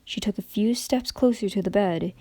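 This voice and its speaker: A woman narrates a story as a dramatic reading. Her voice is mellow and sits at a medium pitch, recorded very dry. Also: moderate pace, breathy edge, dry recording.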